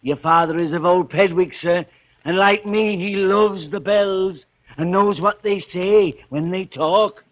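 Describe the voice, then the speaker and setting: A man's voice: sits high, unhurried. An actor playing an old bell-ringer in a 1940s radio drama, crooning fervently in folksy archaic dialect about bells he treats as his children. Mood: doting, proud. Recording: muffled vintage radio broadcast with music.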